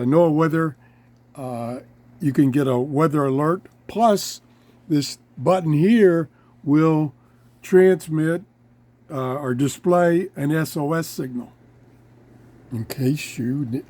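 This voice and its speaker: Slow-talking, mellow man reviewing gear. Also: fairly high pitch. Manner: halting, piecemeal phrasing with pauses to think. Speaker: host of a radio gear review show. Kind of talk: casual product demonstration.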